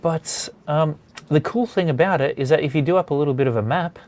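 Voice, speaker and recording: A man's voice: on the high side, a little fast, a little mellow, slight background noise. A man hosting a studio session in casual chat, talking in a hesitant, rambling way. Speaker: host of a comics drawing studio session.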